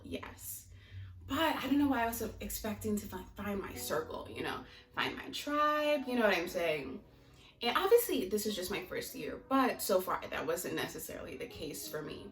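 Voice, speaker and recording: A young American woman giving a casual, candid vlog talk to her viewers, chatty and rambling as she goes. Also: medium pitch. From United States (Maryland), teens in Mozambique. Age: young adult. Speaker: vlogger. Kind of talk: casual chat to camera. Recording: echoey room, distant mic, music behind.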